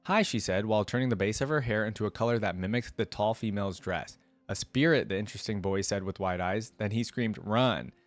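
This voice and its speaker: A man hosting a reaction video, reading a story aloud and thinking out loud in rambling, casual commentary. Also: on the low side, steady tone, fast talker, few pauses.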